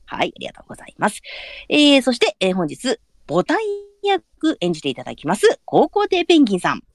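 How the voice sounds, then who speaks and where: A woman's voice: medium pitch, wildly swooping pitch, fast, no room sound, studio quality. A woman hosting a live audio drama stream in polite, chatty announcer phrasing, with frequent short affirmations.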